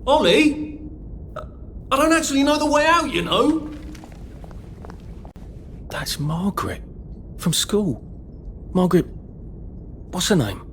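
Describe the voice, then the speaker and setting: A man's voice: high voice, very animated, slow pace, pauses often, fairly bright tone, a little hiss or hum, full character voice. An actor playing a polite, anxious young man with a British accent in a video game, hesitant and wry. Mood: wry, incredulous. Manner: hesitant, sardonic.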